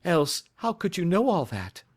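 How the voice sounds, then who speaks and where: A man's voice: high-pitched, big pitch swings, measured pace, breathy edge, pitched above the performer's own voice, full character voice. A man voicing a nervous, earnest young woman in an audiobook, talking in a pleading, breathless way. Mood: startled. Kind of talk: audiobook character reading.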